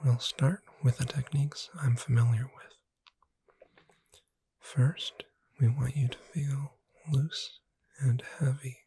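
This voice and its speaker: A woman playing a gentle witch bookseller in a breathy, soothing, whispered ASMR sleep roleplay. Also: rumbling low, steady tone, no room sound, full character voice. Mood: calm, soothing. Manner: slow, soothing, deliberate. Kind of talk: soft-spoken ASMR sleep roleplay, guided relaxation.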